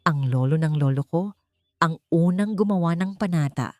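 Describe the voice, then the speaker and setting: A lonely, burdened Filipino woman guardian, voiced by a female narrator confides earnestly in an audiobook tale. Her fairly low voice carries sorrow and courage, over a music bed. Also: normal speed, a little mellow, no room sound, natural voice. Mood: solemn.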